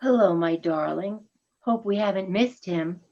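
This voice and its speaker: A woman's voice: medium pitch, unhurried, darkish tone, dull audio. A woman reading a gracious, affectionate female reindeer in a radio play, genteel and effusive.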